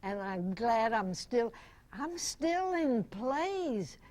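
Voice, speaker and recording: A woman in her nineties, a veteran actress, reminiscing before a club audience in a folksy, halting, chuckling way. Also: on the high side, very animated, a little mellow. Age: 90s. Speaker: veteran radio actress, guest speaker. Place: club meeting room. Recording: noisy amateur meeting recording.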